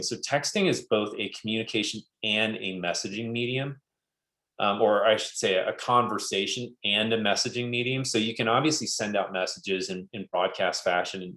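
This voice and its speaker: A man with a fairly low voice presents in an informal business style. The sound is noticeably roomy and echoey. He explains point by point, often going back to rephrase. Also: a bit bright. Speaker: webinar presenter.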